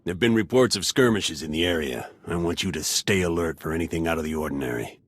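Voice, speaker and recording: An actor playing a suspicious, hot-headed military captain in a video game, blunt and commanding. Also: male voice, low voice, fast talker, a bit bright, slightly rough, no room sound. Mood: stern, suspicious. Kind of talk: video game character acting.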